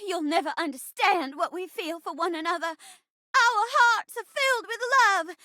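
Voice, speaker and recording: A woman's voice: very high-pitched, measured pace, pushed and bright, no echo, clean studio sound, full character voice. A woman voicing an earnest young farm woman in a melodramatic stage play within a game. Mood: scornful. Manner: pleading, melodramatic.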